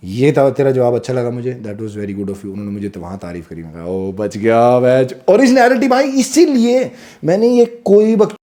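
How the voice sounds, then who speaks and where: Guy in his 30s on a podcast, swears a lot, acts out dialogue, mid-pitched, loose and animated. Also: moderate pace, hardly any pauses. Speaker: guest. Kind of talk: casual storytelling, mixing Hindi and English. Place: podcast studio.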